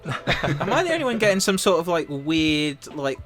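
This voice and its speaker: A man's voice: fairly high pitch, very animated, moderate pace, fairly bright tone, soft background music. A man from the UK co-hosting a casual podcast, chatty and laughing often, his sentences full of "like". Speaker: podcast co-host. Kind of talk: casual chat about tabletop games.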